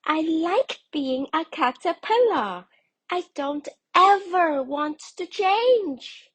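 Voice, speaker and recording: A woman reading a storybook, voicing a small, stubborn, excitable young male caterpillar in a childlike, emphatic way. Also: slow pace, dry recording, heavily acted. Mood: insistent. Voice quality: high and childlike. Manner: plaintive, emphatic exclaiming. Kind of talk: children's storybook read-aloud.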